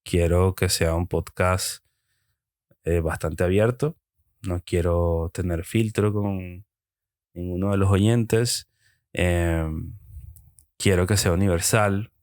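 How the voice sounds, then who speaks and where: A young Venezuelan man with a Venezuelan accent hosting a solo podcast, talking reflectively and pausing often with hesitant fillers. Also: sits low, fairly even intonation, darkish tone, no echo, studio quality. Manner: hesitant, with frequent ums and pauses. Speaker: podcast host.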